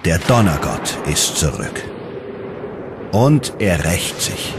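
Deep-voiced male documentary narrator. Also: huge pitch swings, slow pace, vocal fry, background noise. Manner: dramatic storytelling delivery.

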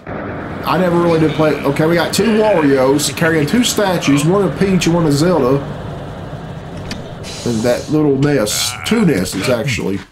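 A man's voice: fairly high pitch, lively intonation, moderate pace, noisy background. A middle-aged man giving casual, unscripted reaction commentary in a blunt, sweary, bemused way, recorded at a computer in a room at home. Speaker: reaction video host. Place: small room at home, indoors. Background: game cutscene music.

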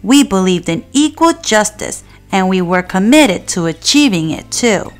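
A woman narrating a children's picture book in an expressive, deliberate storytelling manner. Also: mid-range voice. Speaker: children's read-aloud narrator. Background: faint music.

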